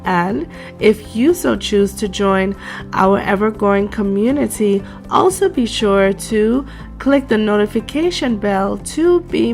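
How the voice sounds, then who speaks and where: A woman hosting a craft tutorial at her table, measured and gracious, addressing viewers as darlings and sounding congested from a cold. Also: medium pitch, darkish tone. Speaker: DIY craft channel host. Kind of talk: tutorial narration.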